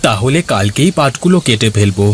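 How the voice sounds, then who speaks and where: A man's voice: lowish voice, expressive, a little fast, a little hiss or hum, lightly acted. A man playing a patient, hardworking village farmer, husband and father in a folk-tale cartoon dub. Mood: matter-of-fact. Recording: music behind the voice.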